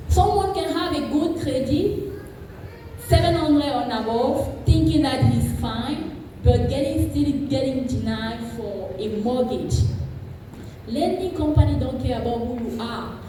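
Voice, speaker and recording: A woman speaks to a seminar in a higher-than-average voice, stressing points by repeating them. She is picked up from a distance in a big reverberant hall, with clear background noise. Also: animated, measured pace, dark tone. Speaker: guest speaker on credit and home buying. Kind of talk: informal seminar talk. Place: large echoey indoor hall.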